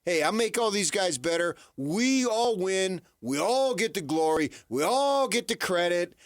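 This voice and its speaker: A man with a high voice and swooping, lively intonation argues sports as a radio host. He is insistent and drives each point home by repeating it. The studio sound is dry and close. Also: measured pace, no room sound. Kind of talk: unscripted sports debate.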